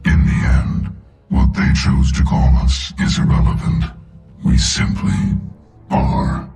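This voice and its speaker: A man's voice: slow pace, lightly acted. A man playing an ancient, arrogant machine intelligence in a video game, speaking in grandiose, cold, contemptuous declarations. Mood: cold menace. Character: ancient godlike sentient machine, arrogant, menacing destroyer of organic life. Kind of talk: video game villain voice acting. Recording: echoing, loud music behind.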